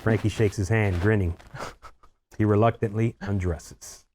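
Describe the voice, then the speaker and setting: A man with a fairly low voice narrates screenplay stage directions. He reads scripted action lines at a table read. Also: fairly even intonation, unhurried, darkish tone, a little hiss or hum.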